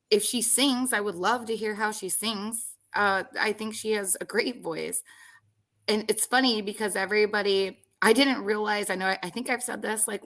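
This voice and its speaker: Chatty woman host, bright girly-ish voice, lots of um and like. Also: medium pitch. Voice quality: voice others call girly. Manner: rambling, many fillers and restarts. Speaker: podcast host. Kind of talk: casual reality-show commentary.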